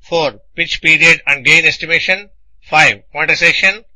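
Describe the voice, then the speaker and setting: A man narrating a scripted technical lecture in a deliberate, didactic manner, explaining things in short phrases. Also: a little high, bright, forceful tone, dry recording, low-quality recording.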